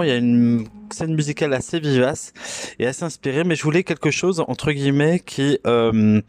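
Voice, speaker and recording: A man hosting a casual solo podcast, talking in a relaxed, self-mocking way and pausing often with fillers and restarts. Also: mid-range voice, no echo, clean studio sound. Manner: hesitant, full of filler sounds and restarts. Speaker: podcast host. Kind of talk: casual solo podcast chat about music.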